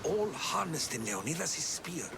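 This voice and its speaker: An actor playing an earnest, worried ally from ancient Greece in a dramatic video game cutscene. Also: male voice, fairly high pitch, sing-song, unhurried, creaky, very noisy, exaggerated voice. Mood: grave.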